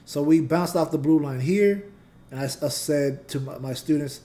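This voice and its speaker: Mellow-voiced man explaining charts casually. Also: fairly high pitch, moderate pace. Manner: loose and conversational, talks to chat. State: voice getting scratchy. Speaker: trading channel host and teacher. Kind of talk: casual live chart analysis.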